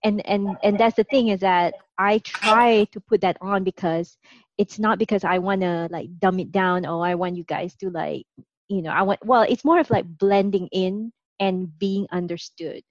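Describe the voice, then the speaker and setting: A woman's voice: mid-range voice, fairly even intonation, moderate pace, dry recording, dull audio. A woman from Singapore co-hosting a podcast, speaking with a light Singaporean accent under an American-leaning one, chatty and full of "like" fillers. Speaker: podcast co-host. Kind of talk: casual podcast chat.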